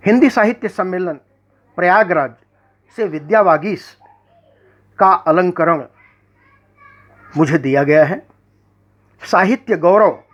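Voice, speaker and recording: A man recites poetry in an unhurried, declamatory way and often repeats a line before moving on. His formal manner fits a poet addressing an audience. The recording sounds dull and lo-fi, with the highs rolled off. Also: a bit bright. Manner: measured, declamatory, repeats verse lines. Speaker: poet and magazine editor.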